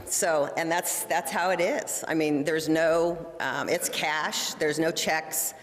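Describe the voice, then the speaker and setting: A woman's voice: low voice, steady tone, slow pace. A woman leading a community meeting, talking casually and rambling, often trailing off with "so". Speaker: community organizer. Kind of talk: casual talk at a public meeting. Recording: echoey meeting hall.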